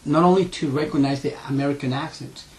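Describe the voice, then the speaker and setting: A man in his forties from Ecuador with a heavy Spanish accent, telling his life story in an interview, earnest and halting with many fillers and restarts. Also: a little high, steady tone, poor audio. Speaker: interviewee, school owner.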